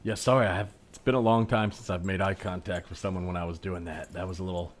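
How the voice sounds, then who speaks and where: Man acting desperate shopper, sheepish, low voice, halting, rambling, earnest, improvised comedy. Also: steady tone, natural voice. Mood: relieved, musing. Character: desperate shopper relieving himself in a store aisle, sheepishly philosophical.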